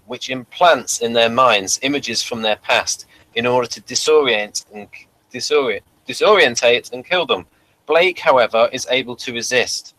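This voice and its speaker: A man hosting a casual review show, talking in a halting, rambling way and reading summaries aloud. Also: medium pitch, bright, forceful tone, low-quality recording. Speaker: podcast host.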